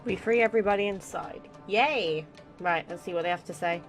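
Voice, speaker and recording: A woman's voice: lowish voice, brisk, music in the background. A woman giving casual let's play commentary as a gaming streamer, chatty, irreverent and sweary.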